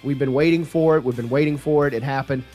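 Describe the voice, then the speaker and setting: A man's voice: mid-range voice, steady tone, fast talker, dark tone, faint music behind. A man hosting a podcast in a casual, informal sports chat style. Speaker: podcast host.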